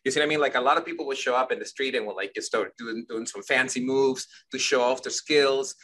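Bright-voiced man from Ecuador, rambling. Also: mid-range voice, fast talker. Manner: rambling, repeats words, asks "you see what I mean?". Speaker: guest, company leader. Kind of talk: conversational interview answers.

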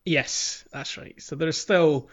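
A man's voice: fairly high pitch, slow. Man co-hosting, dull lo-fi sound. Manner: relaxed, thinking aloud with frequent ums. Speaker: podcast co-host. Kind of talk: casual gaming chat.

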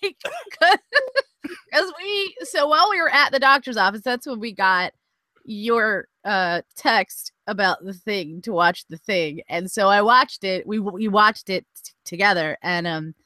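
A woman's voice: medium pitch, animated, a little slow, pushed and bright, no echo. A woman co-hosting a podcast in casual chat, giggling often, saying "like" over and over, and trailing off. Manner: giggly, heavy use of "like", trailing off. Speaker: podcast co-host.

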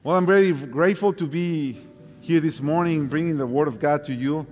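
A man's voice: a little high, normal speed, faint music behind. Mexican-accented man preaching in a hall. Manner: lively reading with hesitant fillers in speech. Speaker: guest preacher. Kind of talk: scripture reading and sermon. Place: large church sanctuary. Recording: long hall reverb.

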